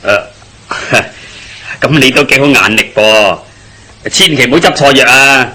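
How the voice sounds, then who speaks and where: A man's voice: mid-range voice, measured pace, sharp tone. An actor playing a good-natured, nosy village man who jokes around in a Hong Kong Cantonese radio drama. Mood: cheerful, teasing. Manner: chatty, inquisitive, colloquial.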